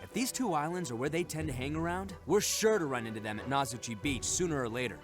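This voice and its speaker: An actor playing a brash, confident young brawler in an English video game, cocky and slangy in manner. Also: male voice, on the high side, animated, fast, close to an everyday voice. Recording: game music behind the voice.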